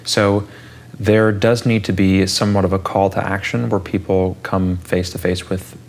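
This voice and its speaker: A man's voice: deep voice, level tone, normal speed, vocal fry. A man in his thirties hosting a casual interview, thinking aloud and asking curious questions. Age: 30s. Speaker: podcast host.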